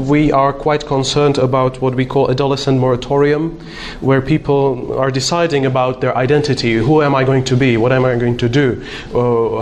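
A man's voice: medium pitch, slight background noise. Man giving a talk. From Slovakia. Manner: hesitant, many uh fillers, self-deprecating humor. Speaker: conference speaker. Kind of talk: conference talk.

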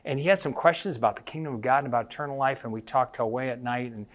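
A man's voice: mid-range voice, very fast, lightly acted. A man voicing a tired male pastor who talks in a chatty, apologetic way.

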